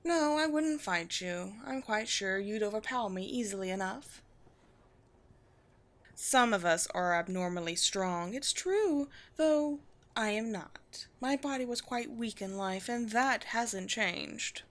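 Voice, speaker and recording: A woman voicing a proud, wry vampire queen with a formal, measured, teasing manner in a scripted audio roleplay. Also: mid-range voice, animated, fairly bright tone. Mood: cool, teasing. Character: poised vampire queen, proud, wry, secretly gentle colony leader.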